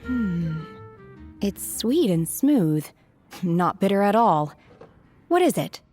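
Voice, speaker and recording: A woman voicing an aloof young woman who lives secluded in the mountains, calm, detached and literal-minded, in a game cutscene. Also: mid-range voice, slow. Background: soft background game music.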